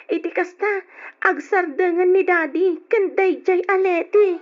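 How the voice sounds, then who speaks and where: A woman's voice: extremely high pitch, unhurried. A woman with an Ilocano accent acting a distressed, anxious young daughter and sister in an old radio drama. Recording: dull lo-fi old radio recording.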